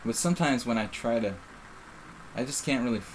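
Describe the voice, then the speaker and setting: A man's voice: medium pitch, a little fast, noisy background. A middle-aged man with a faint Philadelphia accent, casually commenting on his own live chess game in a rambling, self-interrupting way. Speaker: chess streamer.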